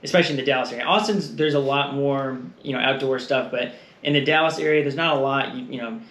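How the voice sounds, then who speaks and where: A man's voice: mid-range voice, fast talker, fairly bright tone. Rambling man in an echoey room. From Texas. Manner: rambling, laughs often, trails off with fillers. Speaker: guest. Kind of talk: casual conversational interview. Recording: echoey room with faint noise.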